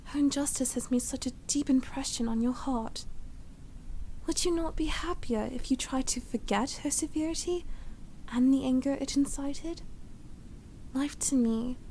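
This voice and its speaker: A woman playing a patient, pious schoolgirl who speaks softly and earnestly in an audio drama. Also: high-pitched, normal speed, slight background noise, poor audio. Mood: gently earnest.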